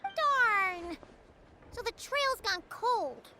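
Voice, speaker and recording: A tiny, squeaky game companion creature, very high and animated. It blurts blunt remarks in drawn-out words, with music playing behind. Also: female voice, a little hiss or hum, heavily acted. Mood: dismayed. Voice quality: squeaky, childlike. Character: small high-pitched floating companion creature, blunt and exclaiming. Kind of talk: animated video game dialogue.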